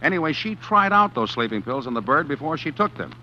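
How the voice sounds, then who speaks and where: An actor playing a man who narrates his own crime in a 1940s radio drama, tense and halting. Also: on the high side, big pitch swings, sharp tone, a little hiss or hum, full character voice. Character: male narrator who turns killer, jittery. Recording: dull old radio recording with music.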